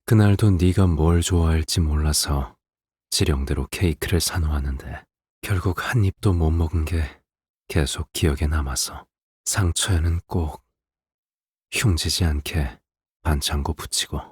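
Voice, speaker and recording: An actor playing a calm, menacing middle-aged assassin father in a video game, speaking gently and without hurry to his daughter. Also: extremely low pitch, breathy edge, long reverb tail, heavily acted. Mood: wistful, quiet. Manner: gentle, unhurried, eerily calm, paternal. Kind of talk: video game character voice lines.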